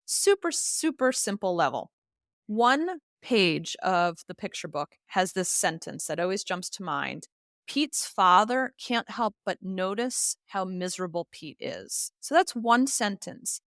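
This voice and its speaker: A woman's voice: mid-range voice, lively intonation, measured pace, a bit bright, no echo, studio quality. A woman giving explanatory interview answers as an expert guest, speaking in an articulate, emphatic, teacherly manner. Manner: articulate, emphatic, teacherly, uses examples and lists. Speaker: expert guest, literacy educator and author.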